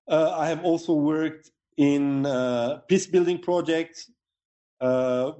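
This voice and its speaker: A middle-aged man from Lebanon, an author and professor interviewed remotely from home, speaking in a measured way and pausing often to find words. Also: mid-range voice, mellow. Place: home in a mountain village.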